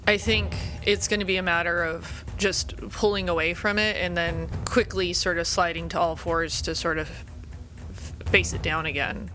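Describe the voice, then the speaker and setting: A woman's voice: fairly low pitch, steady tone, moderate pace, music in the background. A woman playing in a tabletop role-playing game, asking questions and thinking through her moves aloud. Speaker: player.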